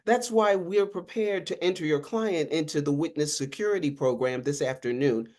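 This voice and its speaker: A woman's voice: sits low, normal speed, darkish tone, close to an everyday voice. A woman voicing a curt, unapologetic male government official who speaks formally and insistently. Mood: firm, unapologetic.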